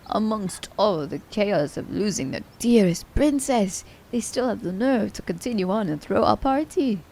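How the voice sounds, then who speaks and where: A woman playing a cunning, haughty immortal assassin in an ASMR audio drama, speaking in theatrical, formal diction, breathy and often dropping toward a whisper. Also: medium pitch, animated, moderate pace, darkish tone, a little hiss or hum, natural voice, low-quality recording. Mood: scheming, disdainful. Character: immortal female assassin, cunning, teasing, haughty, romancing her captive.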